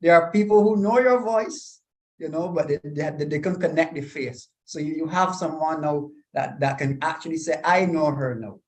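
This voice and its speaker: A man's voice: on the high side, moderate pace. Courteous man moderating a panel. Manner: courteous, apologetic, reads out viewer comments. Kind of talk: hosting a live online panel. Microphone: video call.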